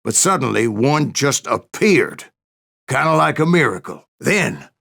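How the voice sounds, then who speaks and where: A grizzled old gunslinger from a Western game, spinning tall tales in a salty American drawl. The voice is gravelly and forward, with a hard bright edge. It rises and dips with wry, boastful storytelling. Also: male voice, mid-range voice, big pitch swings, unhurried, full character voice. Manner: folksy, sardonic, salty. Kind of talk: dramatic first-person storytelling.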